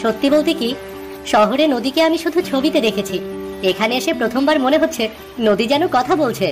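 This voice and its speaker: A woman's voice: high voice, animated, brisk, over music. A woman voicing a curious, earnest young boy from the city who asks eager, wondering questions in a cartoon story.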